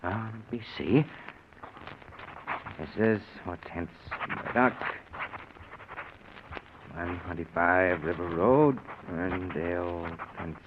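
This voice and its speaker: An actor playing a resentful, henpecked husband with simmering menace and strained politeness, in 1940s radio drama style. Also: male voice, low-pitched, slow pace, pauses often. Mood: quietly menacing. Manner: tense politeness giving way to bitterness. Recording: tinny, noisy vintage 1940s radio recording.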